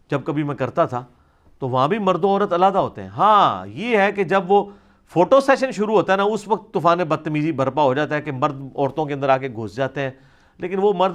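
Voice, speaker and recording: A man answers religious questions in an informal, explanatory lecture, reasoning conversationally as a scholar. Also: a little high. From South Asia.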